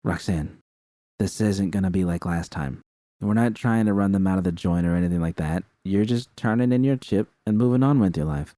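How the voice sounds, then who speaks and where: A frank, caring young woman technician read by a man in an audiobook story. The delivery is slangy, halting and reassuring, in a mellow voice with a dry, close sound. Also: low-pitched, no room sound, natural voice.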